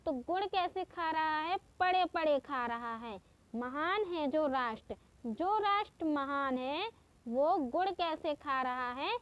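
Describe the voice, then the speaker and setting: Woman, teacher, high voice, unhurried, patient repeating tricks. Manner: slow, patient, repeats each trick step by step. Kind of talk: exam-prep mnemonic lesson.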